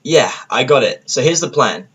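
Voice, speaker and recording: A man's voice: mid-range voice, expressive, moderate pace, fairly bright tone, husky. A man voicing an easygoing, friendly female pony in an audio story, speaking casually. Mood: casual, improvising.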